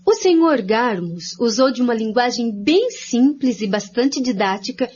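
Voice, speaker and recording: A woman's voice: on the high side, animated, a little slow, darkish tone, a little hiss or hum. An actress playing an earnest woman expositor in a scripted radio drama, laying out points one by one in a didactic way. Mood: explaining, assured. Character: woman researcher Jacira, earnest, didactic study-group expositor. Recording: dull, lo-fi recording.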